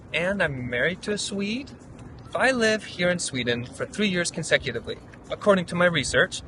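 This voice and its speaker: A middle-aged man from Utah telling his story in a lively, playful vlog style. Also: on the high side, background noise. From United States (Utah). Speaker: vlogger. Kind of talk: casual vlog narration.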